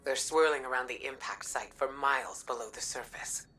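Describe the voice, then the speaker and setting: A woman voicing a solemn, steady commander in a video game, calm and deliberate, with a breathy, husky voice. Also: very deep voice, soft background music. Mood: hushed, concerned. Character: solemn, steady female commander offering reassurance.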